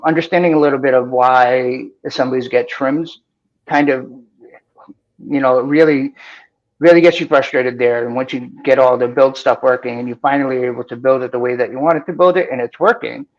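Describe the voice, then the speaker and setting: A man from Boston speaks with a faint Boston accent and a gravelly, creaky edge. He explains technical steps with enthusiasm, filling pauses with "um." The audio is slightly dull, like a remote call mic. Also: mid-range voice. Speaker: guest developer. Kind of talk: technical talk-show chat.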